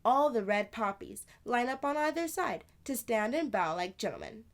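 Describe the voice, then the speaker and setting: A woman plays a storybook big sister spinning fanciful tales. The voice is high and very lively in its swoops, emphatic, playful and confiding, as if fully in the know. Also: moderate pace. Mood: excited, confiding. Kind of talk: children's storybook read-aloud.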